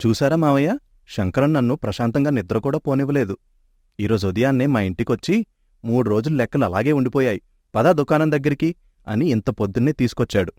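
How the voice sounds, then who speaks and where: An actor voicing a cheeky young man in an animated story, who talks playfully and persuasively. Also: mid-range voice, dry recording, close to an everyday voice. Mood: teasing. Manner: playful, quick-tongued and persuasive. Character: cheeky young man, bickering childhood friend. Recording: dry close studio mic.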